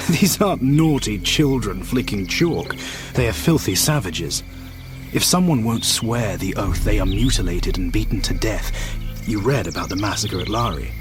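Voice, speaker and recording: An actor playing a hardline British colonial official, a domineering husband with a forceful, dismissive manner, in a radio drama. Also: male voice, lowish voice, expressive, moderate pace, natural voice. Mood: angry, scornful. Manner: forceful, dismissive, self-righteous. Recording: music under the dialogue.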